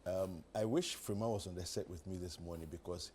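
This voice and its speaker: A man's voice: low voice, brisk, a little mellow, a little hiss or hum. A Ghanaian man hosting a live morning TV show, often hesitating and backtracking as he goes. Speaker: TV breakfast show host. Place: TV studio set.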